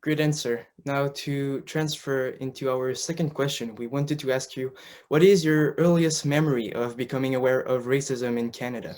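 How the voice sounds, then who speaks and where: A man's voice: medium pitch, steady tone, moderate pace, a little mellow. A young man co-hosting a student interview, reading prepared questions politely with some hesitant fillers. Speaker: student co-host.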